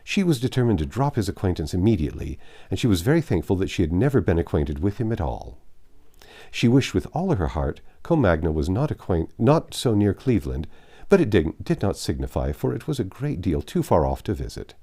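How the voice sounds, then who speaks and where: Deep-voiced man narrating a classic novel. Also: big pitch swings, fairly quick, warm tone. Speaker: audiobook narrator.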